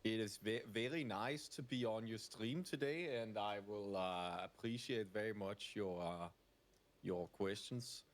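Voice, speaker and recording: A Danish man whose English carries a near-American accent, speaking in a fairly low voice. As a guest on a chat show, he talks things through slowly, with plenty of fillers. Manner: thoughtful, filler-laced, drawn-out explanations. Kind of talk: casual interview answers.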